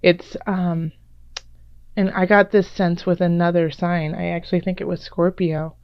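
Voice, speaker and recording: A woman reading tarot cards in a casual, rambling way, her voice raspy and a little hoarse from a recent illness, with many fillers and restarts. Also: on the low side, little pitch movement, a little slow, dry recording, muffled recording. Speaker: tarot reader.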